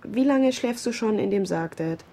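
A woman's voice: medium pitch, expressive, normal speed, warm tone. A woman playing a startled, concerned daughter in a radio play. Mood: alarmed, puzzled. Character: startled, concerned daughter of a middle-aged man. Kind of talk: radio drama acting.

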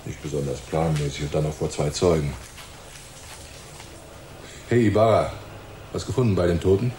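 An actor playing a gruff, brusque police inspector who talks down to others, in a hardboiled radio play. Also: male voice, a bass voice, lively intonation, normal speed, mellow. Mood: dismissive, scornful. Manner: brusque, condescending. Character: gruff, scornful, hard-boiled male police inspector. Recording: roomy echo with faint ambient noise.

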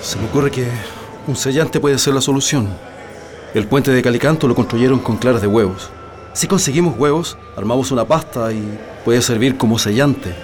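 A man's voice: mid-range voice, moderate pace, natural voice. Earnest Chilean prisoner played by a man, music behind. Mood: concerned. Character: earnest male political prisoner plotting an escape. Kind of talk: radio drama acting.

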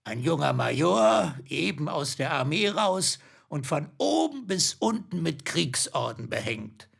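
An actor playing a shady, sentimental old businessman in a radio play, raspy and self-important, with drawn-out theatrical delivery. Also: fairly high pitch, sing-song, a bit bright, full character voice. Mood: wistful. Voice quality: raspy, creaky.

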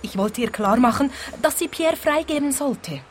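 A woman's voice: sits high, animated, moderate pace, slight background noise. A woman playing a lovelorn, wounded dancer in a radio play, speaking in an earnest, emotional way. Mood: resigned, yearning.

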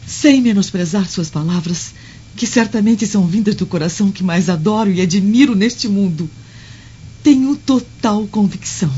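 A muffled radio play with music beneath. A woman plays a devoted Roman noblewoman with a breathy, medium-pitched voice, respectful, gentle and earnest. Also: lightly acted. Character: gentle, devout, earnest Roman senator's wife. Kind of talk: radio drama acting.

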